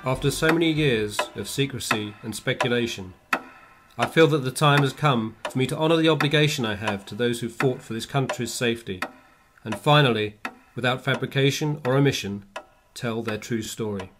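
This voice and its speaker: An actor playing a former Victorian reporter, solemnly narrating a radio play in measured, formal speech. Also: male voice, mid-range voice, over music, close to an everyday voice. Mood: measured, reflective. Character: male former reporter recounting a true Victorian adventure.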